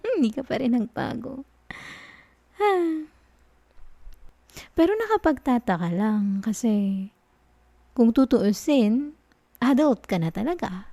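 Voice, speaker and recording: A woman doing a slow, teasing flirty professor. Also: sits high, no room sound, exaggerated voice. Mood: playful teasing. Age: adult. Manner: teasing, soft-spoken, chuckles often. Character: flirty, dominant female university professor, teasing. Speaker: ASMR roleplay performer. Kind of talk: ASMR roleplay.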